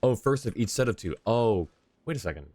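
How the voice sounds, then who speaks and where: Male game streamer, low register, dry close sound; easygoing, self-muttering live commentary. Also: animated, a little fast, warm tone, no room sound. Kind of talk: casual live gameplay commentary, chatting with viewers and reading dialogue aloud.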